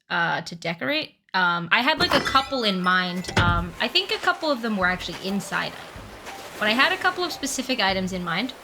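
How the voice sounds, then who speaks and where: A young woman streaming from her room with a mild cold, chatting casually and scatterbrained while she plays and answers chat. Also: mid-range voice. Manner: rambling, scatterbrained, lots of ums and restarts; soft laughs, reads and answers chat. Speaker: livestreamer. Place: room at home with the window open. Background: game music.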